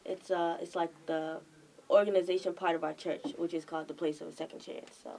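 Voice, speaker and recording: Soft-spoken woman from the Bahamas. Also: lowish voice, level tone, brisk, creaky, distant mic, a little hiss or hum. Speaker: guest, nonprofit director. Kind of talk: interview answers.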